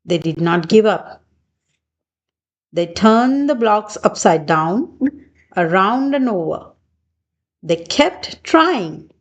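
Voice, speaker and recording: Very slow-paced woman reading a children's storybook. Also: mid-range voice. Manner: deliberate, short measured phrases. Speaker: children's book reader. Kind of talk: read-aloud storybook narration for young children.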